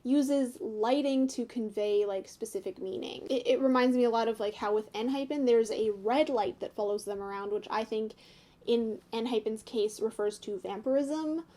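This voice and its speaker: Casting: young woman, North American accent, higher pitch, mellow tone, chatty vlog host thinking aloud. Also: normal speed. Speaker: YouTube host giving fan commentary. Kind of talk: casual analysis chat to viewers.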